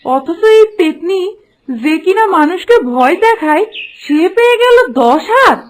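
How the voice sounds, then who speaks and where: High, complaining village woman voiced by a woman. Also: sing-song, unhurried, exaggerated voice. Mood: envious. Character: envious, devout village woman. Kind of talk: cartoon voice acting.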